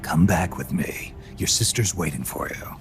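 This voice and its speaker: An actor playing a gruff, stoic middle-aged soldier in a video game cutscene, terse and fatherly, with a husky growl. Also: animated. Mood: gentle, firm. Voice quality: deep, gravelly, husky growl. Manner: terse, measured, low-key delivery. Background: music.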